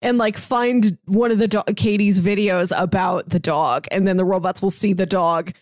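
Female podcast co-host, relaxed movie chat, giggly delivery, medium pitch, very dry recording. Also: normal speed, no echo. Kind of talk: casual film discussion.

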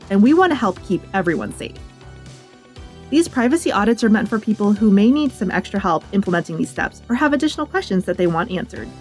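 A woman announcer delivering a scripted promotional read. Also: medium pitch. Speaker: announcer and presenter. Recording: music behind the voice.

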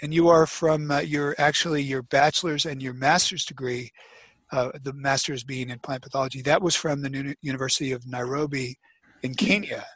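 A man's voice: medium pitch, fairly even intonation, fairly quick, fairly bright tone, dry recording. A man hosting a podcast, asking rambling questions and correcting himself as he goes. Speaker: podcast host. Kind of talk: interview questions.

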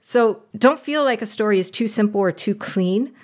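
A woman guest on a podcast interview, talking in a casual, animated way and laughing often as she gives advice. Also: mid-range voice, normal speed. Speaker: podcast guest, audio drama producer.

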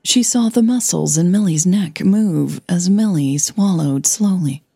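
A woman who narrates and hosts a fiction podcast, telling the story in a measured, dramatic way with deliberate pauses. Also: lowish voice, vocal fry, dark tone, breathy edge, dry recording, clean studio sound. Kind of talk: scripted audio fiction narration.

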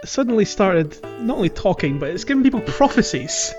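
A man's voice: high-pitched, sing-song, brisk, lo-fi sound, over music. A man co-hosting a podcast, chatting casually about a video game in a hesitant, chuckly, conversational way. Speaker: podcast co-host.

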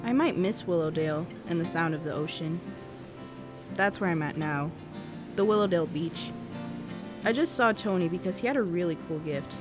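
Woman acting as wistful teenage girl, lowish voice, awkward and reflective, hesitant audio-diary narration, guitar music underneath. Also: normal speed, long pauses, natural voice. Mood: casual, reflective. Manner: reflective, hesitant, self-correcting teenage musing. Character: wistful, awkward seventeen-year-old American girl keeping an audio diary. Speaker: actor in a fiction podcast. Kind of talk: intimate first-person cassette diary narration.

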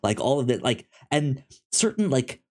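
A man co-hosting a casual film discussion, speaking haltingly and often stammering and repeating words. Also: mid-range voice, very animated, a little mellow. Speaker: podcast co-host reviewing films.

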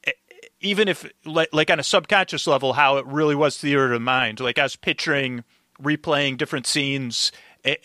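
A man chatting casually as a podcast guest, telling things in a halting, rambling way and circling back mid-sentence. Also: medium pitch, bright, forceful tone, dry recording, studio quality. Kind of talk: casual interview chat, storytelling.

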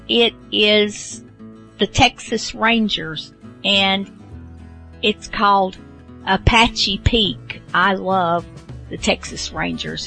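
A woman hosting a radio show casually, in a halting, informal way. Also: medium pitch, gravelly, pushed and bright.

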